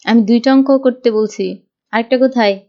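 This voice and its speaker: A woman's voice: a little high, steady tone, fairly quick, warm tone, a little hiss or hum, natural voice. A woman voicing an exasperated young female tutor in an amateur cartoon, scolding and pleading with mischievous children. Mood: patient. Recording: dull lo-fi audio with music behind.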